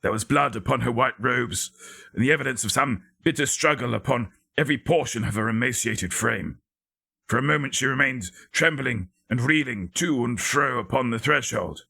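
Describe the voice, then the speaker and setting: Male narrator for gothic fiction: raspy, forceful, theatrical, with measured and deliberate phrasing. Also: mid-range voice. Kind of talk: dramatic scripted gothic story reading.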